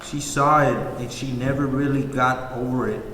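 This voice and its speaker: An echoey, noisy recording of a man acting a blunt, protective motel insider. His voice is mid-pitched, reading from a film script. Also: fairly even intonation, normal speed, fairly bright tone, close to an everyday voice. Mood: guarded. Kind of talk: table read of a film script. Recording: echoey room, clear background noise.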